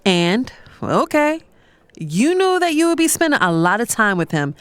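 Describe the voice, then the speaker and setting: An actress playing a conflicted, lovestruck professional woman, telling her story in an expressive, confiding, exasperated way for an audio drama. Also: on the high side, moderate pace, clear, resonant tone, dry recording. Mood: flirty. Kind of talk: dramatized first-person audio fiction narration.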